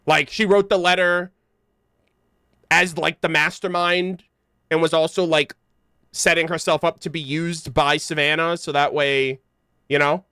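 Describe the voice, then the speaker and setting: A man streaming a game, giving slangy casual commentary and thinking out loud. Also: on the high side, steady tone, unhurried, pauses often, smooth, no room sound. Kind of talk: casual playthrough commentary, reading game text aloud. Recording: game music behind voice. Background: game music.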